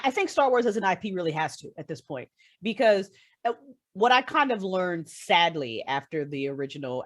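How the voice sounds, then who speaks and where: A woman guest, a writer, talking casually on a podcast in an animated, opinionated, sweary way. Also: low voice. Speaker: guest, writer and creator of an audio drama.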